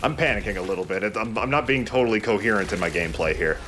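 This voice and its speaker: A man's voice: fairly low pitch, very fast, fairly bright tone, a little hiss or hum. A man giving casual gameplay commentary as host of a let's play, explaining mechanics as he goes. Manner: explains game mechanics as he plays.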